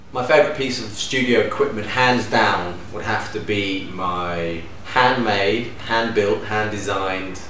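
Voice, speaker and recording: A man in his thirties from Denmark, a DJ and producer, answering interview questions to camera in a relaxed, enthusiastic way with lively hand gestures. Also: lowish voice, measured pace, hardly any pauses. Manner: relaxed, enthusiastic, gestures with hands while talking. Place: living room at home, indoors. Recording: echoey room, distant mic, faint hum.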